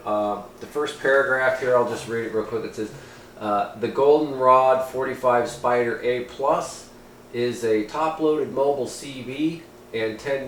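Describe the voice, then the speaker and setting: A man talks through a piece of hobby gear in a roomy, echoing space with faint noise behind him. His pitch is mid-range for a man. He sounds informal and folksy, slipping between reading aloud and offhand comment. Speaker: amateur radio hobbyist reviewing gear. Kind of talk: casual product review, partly reading aloud. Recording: roomy echo, steady background noise.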